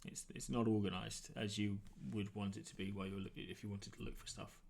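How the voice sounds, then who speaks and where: A man with a fairly low voice acts as game master at a tabletop game. He talks conversationally, feeling his way through descriptions as he makes them up. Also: level tone, gravelly, a little mellow. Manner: conversational, improvised, halting descriptions. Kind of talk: narrating a tabletop role-playing game.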